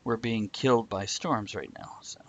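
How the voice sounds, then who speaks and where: A man's voice: on the low side, very animated, moderate pace, breathy edge, lo-fi sound. A man co-hosting a livestream, chatting casually in a joking, bantering way. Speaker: livestream co-host. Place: indoors, live stream.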